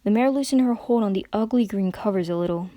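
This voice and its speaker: A woman narrates a story as a dramatic reading. Her voice is mellow and sits at a medium pitch, recorded very dry. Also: fast talker, no room sound.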